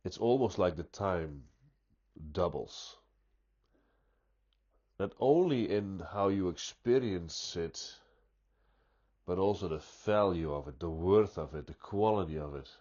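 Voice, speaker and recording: A man with a stuffy nose giving an unscripted, reflective monologue, speaking deliberately and often restarting his sentences. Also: deep voice, lively intonation, dark tone, muffled recording. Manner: slow, deliberate, thoughtful, with frequent restarts. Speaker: pipe-smoking vlogger.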